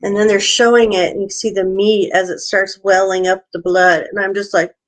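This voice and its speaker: A woman's voice: medium pitch, level tone, moderate pace, poor audio. A woman co-hosting a casual vlog who rambles with lots of fillers, then reads a news story aloud. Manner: rambling, filler-heavy, squeamish. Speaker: co-host.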